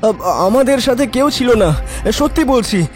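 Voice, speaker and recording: A man's voice: very high-pitched, animated, a little slow, darkish tone, soft background music. An actor playing a frightened yet defiant young male captive in an audio drama, with a strained, pleading manner. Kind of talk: Bengali horror audio drama acting.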